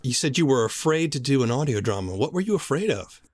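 A man's voice: mid-range voice, big pitch swings, fast talker, darkish tone. A man hosting a conversational interview, speaking in a reflective and reassuring way. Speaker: interviewer, host.

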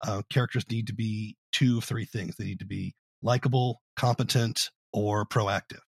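A man hosting an interview in a deliberate, analytical, conversational way. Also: lowish voice, steady tone, gravelly, breathy edge, dry recording, studio quality. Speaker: podcast host, interviewer.

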